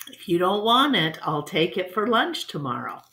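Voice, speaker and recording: A woman's voice: fairly low pitch, sing-song, normal speed, sharp tone, full character voice. A woman voicing a stern, practical rural father with a blunt, folksy manner in a storybook. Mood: firm.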